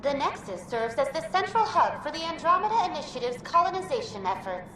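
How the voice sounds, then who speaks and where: A female virtual assistant in a sci-fi game, high and very lilting. She is upbeat and formal, like a scripted customer-service greeter, in an echoey space with clear music behind her. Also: sing-song, fairly quick, recorded from a distance, crowd noise. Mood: upbeat, welcoming. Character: cheerful, helpful female virtual intelligence greeter program. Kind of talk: scripted automated welcome announcement.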